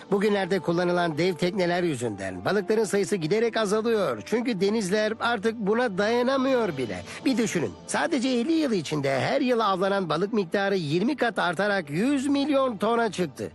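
An actor playing an animated, wise old teacher in a dubbed educational cartoon, with a creaky voice. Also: male voice, sits high, full character voice. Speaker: cartoon mentor.

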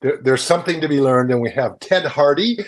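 An older man chats through a news rundown on a video call from a small room at home. His voice sits at an ordinary middle pitch, and he explains things casually, often checking in with a tag question. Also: a little fast. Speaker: magazine editor and guest presenter. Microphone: video call, close to the screen.

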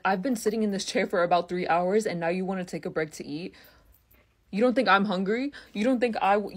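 Young woman, blunt fired-up rant, mid pitch, swearing. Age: young adult. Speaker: customer ranting in a clip. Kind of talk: ranting video.

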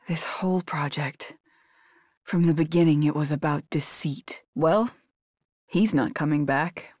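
A woman's voice: deep voice, dry recording, clean studio sound. An actress playing a tough, determined young woman agent in a survival horror video game, speaking in terse, guarded bursts.

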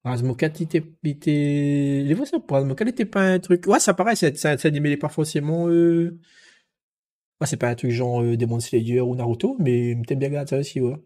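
A man's voice: fairly high pitch, fairly quick, mellow. A man from Réunion with a Réunion Creole accent, chatting casually and enthusiastically in slang as a livestream host. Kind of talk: casual chat.

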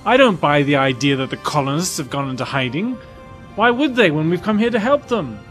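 A wary, insistent male crewman played by a man in a sci-fi audio drama. His voice sits a little high and moves expressively, over faint music. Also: sing-song, fairly quick, fairly bright tone.

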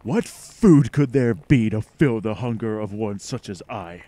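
An actor playing an ancient, arrogant alien mind in a retro radio drama, declaiming slowly and pompously with contempt. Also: male voice, fairly low pitch, lively intonation, mellow. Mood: hungry, menacing.

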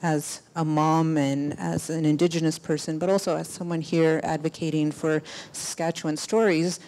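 Female panelist, thirties, low register, reflective conversational delivery on a handheld stage mic. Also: flat delivery, normal speed, darkish tone. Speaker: panelist, filmmaker. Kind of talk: personal storytelling in a panel discussion. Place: stage of a cinema auditorium. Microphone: handheld microphone held close.

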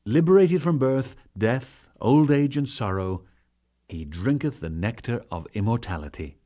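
A man narrating scripture as a dramatic audiobook reading, solemn and measured, with formal phrasing and deliberate pauses. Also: mid-range voice, darkish tone.

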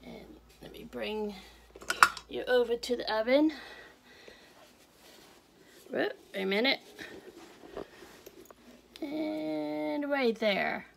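Slow, homey woman cooking in her kitchen. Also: on the high side, creaky, background noise. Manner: homey, unhurried, chuckles often. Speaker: home cooking vlogger. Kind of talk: casual cooking demonstration. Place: home kitchen, indoors. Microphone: fixed camera at counter, speaker moving around.

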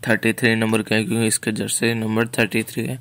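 A man's voice: on the low side, monotone, fast, fairly bright tone. A man with an Indian accent giving casual game showcase commentary, often repeating his phrases. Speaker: gaming video creator and host.

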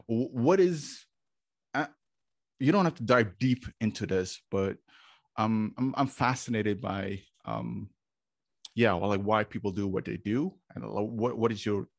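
A man hosts a podcast over a video call and asks questions in a loose, rambling way full of fillers. His voice sits on the low side. The sound is close and dry. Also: dry recording. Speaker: podcast host. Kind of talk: casual video-call interview. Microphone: video call, desk mic.

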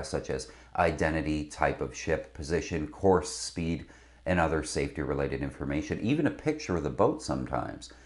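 A middle-aged man presenting scripted instruction to camera as a sailing video host. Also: rumbling low. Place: indoor studio with branded backdrop. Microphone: lapel mic.

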